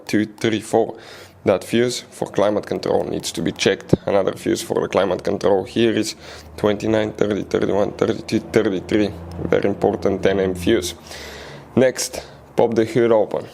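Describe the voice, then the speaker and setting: A man's voice: on the low side, vocal fry, darkish tone, slight background noise. A man hosting a car repair tutorial, giving step-by-step how-to narration and often addressing viewers as guys. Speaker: car repair video host.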